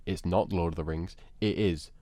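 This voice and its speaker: A man's voice: deep voice, fairly even intonation, moderate pace, warm tone, no echo. A man speaking as a panellist in a casual debate, opinionated and emphatic, repeating phrases for effect.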